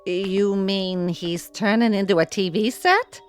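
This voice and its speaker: An actress in a radio drama playing a plain-spoken, wisecracking housewife with a sardonic, folksy manner. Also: female voice, medium pitch, measured pace, soft background music, lightly acted. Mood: skeptical, concerned. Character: wisecracking, plain-spoken working-class housewife, exasperated with husband.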